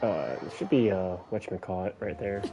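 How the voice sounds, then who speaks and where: A man's voice: low-pitched, expressive, a little fast. A man who streams games, giving casual live commentary in a slangy, joking way. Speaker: game streamer. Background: game music.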